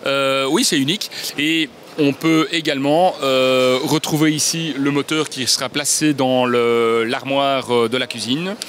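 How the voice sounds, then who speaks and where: A man's voice: mid-range voice, fairly even intonation, unhurried, fairly bright tone, slight background noise. A man in his thirties explaining kitchen products as a brand representative, agreeable, with many fillers. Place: large indoor trade fair hall.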